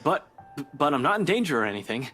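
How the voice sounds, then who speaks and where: A man voicing a hesitant, guilt-ridden young male detective inspector in a visual novel, speaking in a halting, evasive way. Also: on the high side, big pitch swings, sharp tone, pitched above the performer's own voice. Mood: hesitant, uneasy. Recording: music behind the voice.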